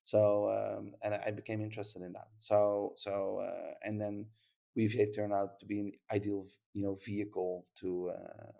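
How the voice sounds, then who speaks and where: A man's voice: on the low side, little pitch movement, normal speed, vocal fry, warm tone. A Dutch-accented man from the Netherlands, a startup founder talking casually on a podcast, rambling with lots of fillers and restarts.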